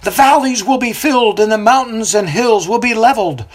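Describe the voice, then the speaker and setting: A storyteller voicing a fiery male desert prophet with a booming, coarse voice who preaches loudly to a crowd. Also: fairly quick, lightly acted. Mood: proclaiming.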